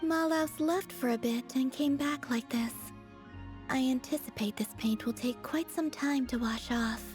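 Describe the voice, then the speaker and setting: A woman voicing a serene, gentle young moon goddess in a video game, speaking softly with composed, formal phrasing. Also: high-pitched, measured pace, faint music behind. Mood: calm. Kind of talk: video game character dialogue.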